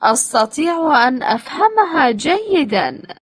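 A woman reading short phrasebook sentences slowly and clearly for language learners. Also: sits high, very animated, no room sound. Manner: slow, clearly spaced phrases. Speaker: phrasebook reader.

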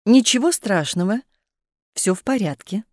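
A woman reading short phrase translations aloud for a language lesson in a clear, measured way. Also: mid-range voice, expressive, a little mellow, no room sound, clean studio sound. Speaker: phrasebook reader.

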